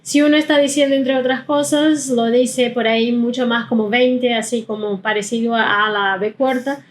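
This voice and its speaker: A woman's voice: fairly high pitch, fairly even intonation. Woman teaching German pronunciation. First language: not Spanish. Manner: explanatory, slows down to model sounds. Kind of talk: pronunciation lesson.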